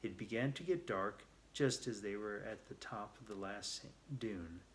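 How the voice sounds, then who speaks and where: A man telling a children's story aloud, reading a little haltingly and repeating words as he goes. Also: on the low side, a little hiss or hum.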